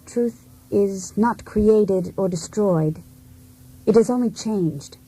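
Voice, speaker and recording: An actress playing a cold, disillusioned wife set on breaking free, in a deliberate, introspective radio drama monologue. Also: female voice, medium pitch, dark tone, slightly rough, rough recording. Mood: resolute.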